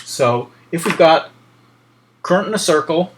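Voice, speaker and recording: A man's voice: fairly high pitch, expressive, measured pace, slight background noise. A man lecturing in an informal, explanatory way, thinking aloud with frequent hesitations.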